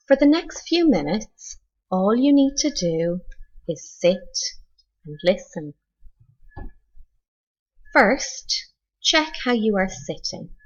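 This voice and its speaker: A woman leading a scripted guided meditation like a teacher, in a measured, instructional manner with deliberate pauses. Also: mid-range voice, lo-fi sound.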